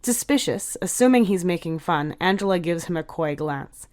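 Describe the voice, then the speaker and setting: A woman's voice: lowish voice, expressive, moderate pace, darkish tone. A woman reading scripted fiction aloud as the narrator of a short story.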